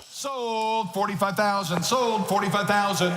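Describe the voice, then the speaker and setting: A man working as an auctioneer, calling bids in a rapid rhythmic chant and shouting the numbers. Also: high-pitched, music in the background. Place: large auction hall. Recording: noisy reverberant hall.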